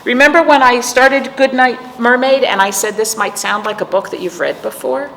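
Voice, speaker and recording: A woman hosting a storytime for children, reading aloud and chatting in a gentle, playful manner to young listeners. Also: on the high side, moderate pace. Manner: gentle, playful, addressing young viewers as friends. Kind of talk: read-aloud and chat for children. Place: indoors, room with aquamarine wall. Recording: echoey room with clear background noise.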